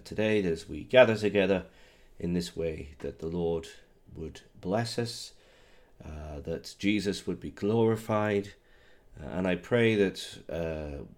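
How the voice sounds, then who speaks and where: Hoarse pastor with a low, dark voice. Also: male voice. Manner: halting, many fillers, reads scripture slowly. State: hoarse from a cold. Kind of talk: sermon with scripture reading.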